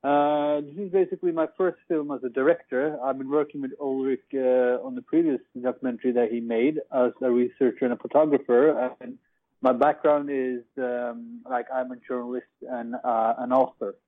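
A man's voice: mid-range voice, little pitch movement, normal speed. A man in his twenties from Norway, a journalist and director, talking casually with lots of "you know" and "like". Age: 20s. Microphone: phone. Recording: tinny phone line.

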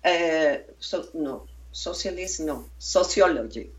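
A woman from Venezuela, a documentary filmmaker being interviewed, speaking haltingly with many restarts and fillers. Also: deep voice, expressive, far from the mic, low-quality recording.

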